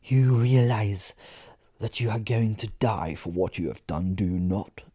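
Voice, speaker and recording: Man acting as female vampire, vengeful, cold, menacing, slow whispery delivery, horror audio drama, dark mellow tone. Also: fairly low pitch, husky, dry recording, clean studio sound. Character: vengeful, menacing female vampire, coldly grieving her brother.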